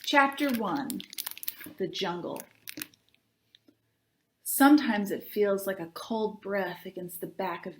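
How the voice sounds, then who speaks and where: A woman reading aloud to children as a librarian, in an expressive, deliberate storybook style. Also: mid-range voice. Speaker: youth services librarian reading aloud to tweens.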